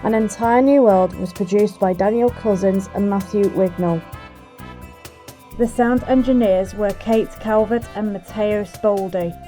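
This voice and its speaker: Unhurried, mellow woman announcer. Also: mid-range voice. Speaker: credits announcer. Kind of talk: scripted narration. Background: music.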